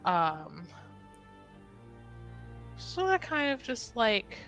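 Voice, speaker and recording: A woman playing a tabletop roleplaying game, chatting casually in a giggly, self-deprecating, animated way. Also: a little high, drawn-out words, faint music behind.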